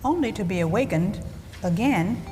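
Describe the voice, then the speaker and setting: A female narrator played by an actress tells a scripted tale in old-time radio style. Her intonation is very animated, and loud music plays beneath her in a reverberant hall. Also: medium pitch, moderate pace, lightly acted. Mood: measured, atmospheric. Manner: expressive storytelling.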